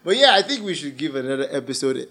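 A man's voice: medium pitch, big pitch swings, quick pace, sharp tone. A man co-hosting a podcast in a casual, teasing, jokey way. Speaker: podcast co-host. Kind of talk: casual chat.

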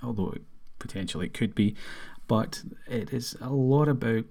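Man, Scottish accent, middle-aged, gravelly, dark warm tone, earnest podcast introduction. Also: medium pitch, moderate pace. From Scotland. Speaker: podcast host.

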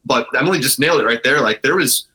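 Bright, forceful American man talking casually. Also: mid-range voice, quick pace. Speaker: interview guest, brewery owner. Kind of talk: casual, candid conversation.

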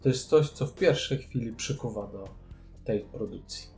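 Very mellow-voiced man. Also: mid-range voice, normal speed, vocal fry, faint music behind. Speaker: podcast co-host. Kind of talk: casual board game discussion.

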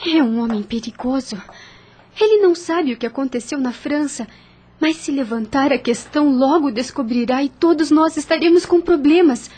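An actress playing a proud, principled young widowed landowner in a radio drama, speaking in a formal, earnest, emphatic way. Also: female voice, high-pitched, moderate pace, dark tone, slight background noise, close to an everyday voice. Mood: worried.

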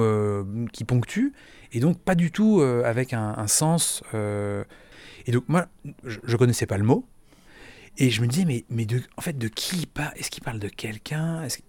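Man with an average-pitched voice, recorded dry and close; casual, stumbling, thinking-aloud delivery. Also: expressive, normal speed, darkish tone, no room sound. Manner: hesitant, many restarts and repeated words. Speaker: interviewee. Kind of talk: casual spoken recollection.

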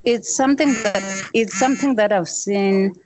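A woman, a young musician, answering interview questions in slow, plain, repeated phrases. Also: medium pitch, a little mellow. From South Africa. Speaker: musician guest.

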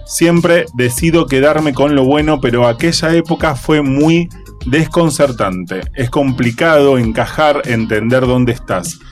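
A man's voice: mid-range voice, a little slow, soft background music. A man with a Rioplatense Spanish accent on a radio panel who reads quotes aloud and gives blunt opinions. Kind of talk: casual radio chat, reading quotes aloud.

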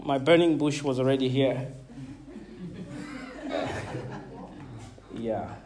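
High-voiced emphatic preaching man. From Zambia. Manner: emphatic, calls out to the congregation; repeats words, laughs between points. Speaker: pastor. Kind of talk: sermon.

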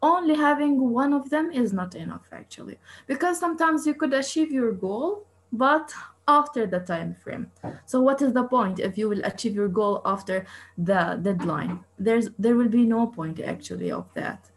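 Higher-voiced woman. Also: very animated, normal speed. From East Jerusalem. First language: Arabic. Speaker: interview guest, coach and researcher. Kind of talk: interview answers.

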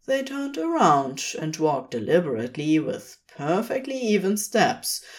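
A woman's voice: on the low side, big pitch swings, measured pace. A woman reading a scripted fantasy story aloud as its narrator. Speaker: podfic narrator.

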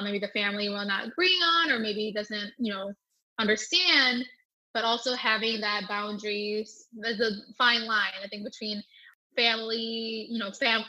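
Young woman, Thai first language, bright tone, earnest, reflective. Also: mid-range voice, poor audio. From United States. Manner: earnest, often ending with "right?". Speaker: guest. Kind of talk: reflective personal interview answer.

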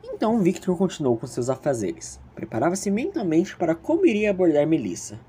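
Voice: mid-range voice, huge pitch swings, brisk, warm tone, a little hiss or hum. A narrator telling a scripted story in a theatrical, self-mocking way with playful asides.

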